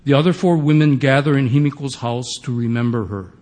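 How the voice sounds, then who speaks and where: A man from Hawaii, a professor, reading a measured, formal introduction to a play. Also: mid-range voice, darkish tone, big-room sound.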